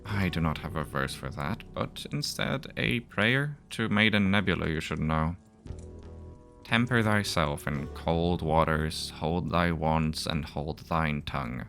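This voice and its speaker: A man's voice: very deep voice, a little slow, a bit bright, faint music behind, exaggerated voice. A man voicing an uneasy, learned priest who argues in formal, rhetorical phrases for a fantasy horror story. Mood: reciting. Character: Elias, guilty priest, uneasy but argumentative and learned.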